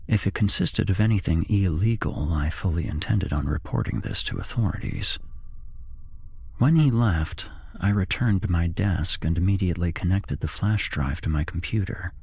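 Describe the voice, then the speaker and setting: Very breathy man whispering horror narration. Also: low voice, a little mellow, no echo. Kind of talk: whispered ASMR scripted narration.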